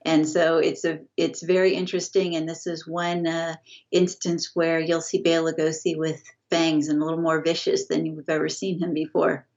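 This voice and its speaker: A middle-aged woman talking as an interview guest over a video call, halting and full of restarts. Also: sits low, level tone, darkish tone. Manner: halting, many restarts and fillers. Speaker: guest, family estate representative. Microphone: video call, earbuds. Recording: dull lo-fi video call audio.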